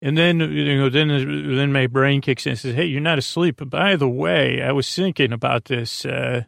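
Male bedtime-podcast host, creaky fry, dry close recording, meandering monologue full of halting repeats. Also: mid-range voice, fairly bright tone, no echo.

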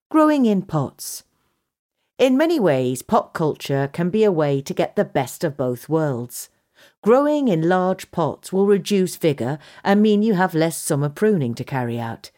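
Low-pitched woman narrating. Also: dark tone. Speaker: reader of a gardening magazine article. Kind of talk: scripted narration.

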